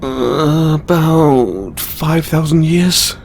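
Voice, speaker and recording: A man playing a stressed, tender god confiding in a lover in an intimate audio roleplay, speaking haltingly with stammers and sighs. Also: lively intonation, vocal fry, a little mellow, heavily acted. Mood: embarrassed, defensive. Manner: hesitant, halting, stammering and sighing. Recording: background noise with low rumble.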